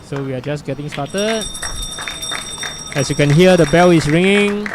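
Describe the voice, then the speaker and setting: A man's voice: fairly high pitch, measured pace, a crowd in the background. A middle-aged Singaporean man with a Singaporean English accent giving loose, chatty live commentary on a lawn bowls final, full of fillers and asides. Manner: chatty and loose, many fillers, self-deprecating. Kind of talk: live solo sports commentary. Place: outdoor bowling green. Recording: noisy outdoor live stream.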